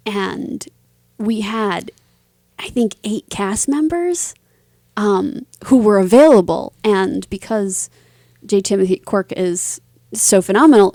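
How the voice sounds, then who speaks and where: A woman chats as a guest in a casual interview, phrasing things informally and leaning on key words. Her voice sits at a medium pitch in a dry, close recording. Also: dark tone, dry recording. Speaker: interview guest, writer and performer.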